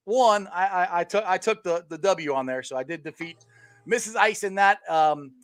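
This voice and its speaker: A middle-aged man hosting a casual sports chat, telling stories in a rambling, animated way with many restarts and laughs. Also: high voice, moderate pace, dry recording. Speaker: podcast host.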